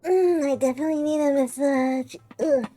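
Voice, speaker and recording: A woman's voice: sits high, normal speed, dark tone, no echo. A woman streaming a game with casual, playful, musing reaction chat.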